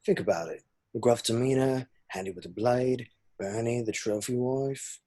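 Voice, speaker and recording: A man's voice: medium pitch, normal speed, warm tone, natural voice. A man playing a crude, cheerful young slacker in an amateur comedy table read, with sweary, matey banter. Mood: mischievous, boastful. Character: crude, cheerful, loyal young male slacker best mate. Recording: home-recorded remote read.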